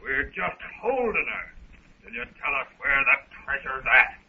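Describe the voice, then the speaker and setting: An actor playing a rough, threatening mutineer sailor in a 1930s radio serial, blunt and slangy, with a gravelly voice. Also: male voice, mid-range voice, sing-song, moderate pace, pushed and bright, full character voice. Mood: menacing. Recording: muffled 1930s radio recording.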